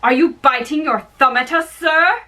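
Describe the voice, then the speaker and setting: A woman giving an amateur dramatic reading of a play, performing all the parts in a theatrical, halting way. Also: sits high, pushed and bright. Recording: distant microphone.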